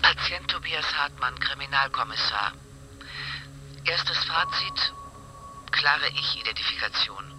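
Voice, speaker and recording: An actor playing a detached clinician dictating patient notes in clipped, factual phrases for a radio drama. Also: male voice, mid-range voice, unhurried, pauses often, music in the background, lightly acted, low-quality recording.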